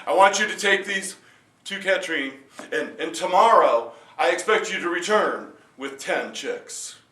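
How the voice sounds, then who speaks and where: A man voicing a loud, jolly, demanding king who laughs heartily and drags out his threats, recorded in a roomy space from a distance. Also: sits high, lively intonation, measured pace, heavily acted. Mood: commanding. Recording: roomy echo, distant mic.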